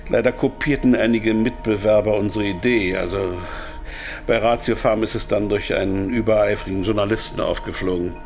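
Man playing a low-voiced, self-satisfied corporate captive. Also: steady tone, normal speed, a little mellow, soft background music, natural voice. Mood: smug, explanatory. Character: captive male pharma marketing executive, smugly explaining, easily rattled. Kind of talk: German radio drama dialogue.

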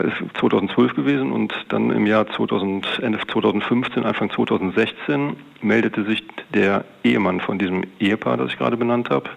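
A man speaking as a police detective, recounting an investigation off the cuff in colloquial, winding sentences with a creaky, gravelly voice. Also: fairly low pitch. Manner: matter-of-fact, colloquial, long winding sentences. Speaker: police detective being interviewed. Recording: dull, band-limited interview recording.

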